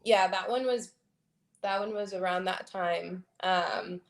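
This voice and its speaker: A young woman from Florida and the Dominican Republic, a model and singer, chatting casually as an interview guest, laughing often and pausing on "um". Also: lowish voice, creaky. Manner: laughing often, hesitant with many ums.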